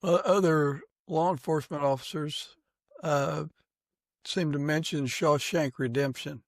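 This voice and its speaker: Gravelly man hosting a casual interview. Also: a little high, steady tone, a little slow, no room sound. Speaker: podcast host, interviewer.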